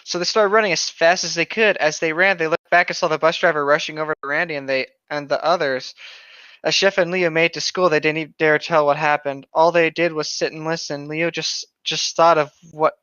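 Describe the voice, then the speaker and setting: A man's voice: a little high, fairly even intonation, a little fast, sharp tone, clean tone, no room sound. A man co-hosting a podcast, reading a story aloud with playful, sweary asides, recorded on a slightly muffled microphone. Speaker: podcast co-host reading horror fiction aloud.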